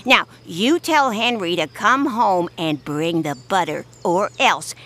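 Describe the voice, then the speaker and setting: An actress playing a dotty, cantankerous village widow in a comic audio drama, scolding and bossy. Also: female voice, mid-range voice, expressive, takes it slowly, fairly bright tone. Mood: bossy, grumbling. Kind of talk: comic audio theatre acting.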